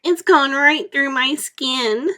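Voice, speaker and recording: A woman's voice: sits high, slow, pushed and bright, pitched above the performer's own voice, full character voice. A woman voicing a gloomy, complaining male earthworm with a whiny delivery. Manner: whiny, glum.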